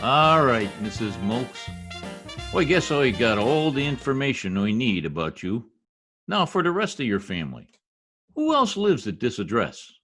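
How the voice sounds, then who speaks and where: Animated male voice, American accent, pompous bumbling census taker, acted by a man, slangy, old-time radio comedy. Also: medium pitch, moderate pace, over music, lightly acted. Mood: self-important. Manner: slangy, pompous, mangles words. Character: blustering, folksy, middle-aged American husband, bumbling census taker.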